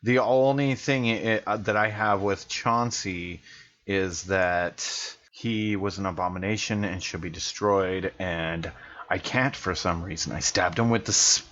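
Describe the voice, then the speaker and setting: A man's voice: low voice, steady tone, a little slow, fairly bright tone. A man talking casually as a player in a tabletop game, thinking aloud. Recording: slightly dull sound with faint noise.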